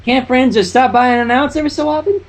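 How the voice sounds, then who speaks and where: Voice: high-pitched, quick pace, pitched above the performer's own voice. A reader voicing a cheerful, folksy and suspicious country pony mare in a fan fiction reading, talking in rustic dialect. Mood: cheery.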